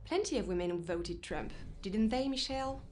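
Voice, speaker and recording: A woman's voice: medium pitch, expressive, normal speed, heavy background noise, close to an everyday voice. A woman playing a defiant, headstrong survivor in an audio drama, speaking in an insistent, commanding way. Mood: accusing, insistent. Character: defiant, headstrong, loyal woman determined to rescue her friend.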